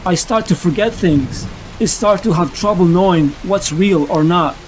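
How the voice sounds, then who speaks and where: A man's voice: high voice, normal speed, a little hiss or hum, lightly acted, rough recording. A man playing a tormented, ailing vigilante losing his sanity, narrating his story as a brooding, confessional monologue. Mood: brooding, troubled. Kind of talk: dramatic first-person comic narration.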